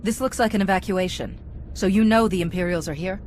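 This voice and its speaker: A woman's voice: mid-range voice, brisk, darkish tone, a little hiss or hum, close to an everyday voice. An actress playing a composed, determined female commander in a video game, speaking in a cool, direct, self-assured way. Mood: cool, probing. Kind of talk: dramatic video game acting.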